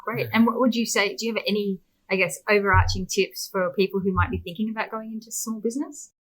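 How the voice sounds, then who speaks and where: A woman hosting a podcast, chatting casually and informally as she interviews, with tentative, softened phrasing. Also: mid-range voice, steady tone, fast, low-quality recording. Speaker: podcast host.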